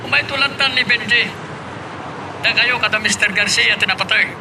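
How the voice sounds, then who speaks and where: A man playing a well-off businessman and father in an Ilocano audio drama, brisk and commanding. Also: high voice, noisy background. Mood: businesslike. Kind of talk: Ilocano radio-style drama acting.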